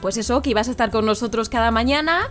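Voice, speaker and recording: A woman's voice: on the high side, fast talker, fairly bright tone, faint music behind. A middle-aged woman from Madrid, hosting live morning radio and teasing her guest, laughing often. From Madrid, Spain. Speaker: radio host.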